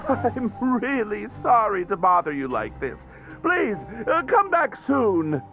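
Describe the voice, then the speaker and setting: A man's voice: sits high, very animated, slow, vocal fry, phone-quality sound, heavily acted. A man playing a timid, embarrassed, apologetic video game character, speaking hesitantly and politely. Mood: pleading, sheepish. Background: faint music.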